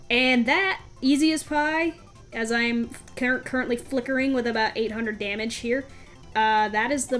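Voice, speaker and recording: A woman with a high-pitched voice hosts a let's play. She chats casually to her viewers and pokes fun at herself as she goes. Faint video game music plays behind her commentary. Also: a bit bright. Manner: chatty, self-deprecating. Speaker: gaming video host.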